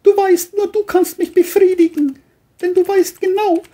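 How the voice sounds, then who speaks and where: A woman's voice: very high-pitched, flat delivery, normal speed, dark tone, pitched above the performer's own voice. A woman voicing an intense, volatile, seductive and menacing woman in a crime audio play. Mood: seductive, pleading.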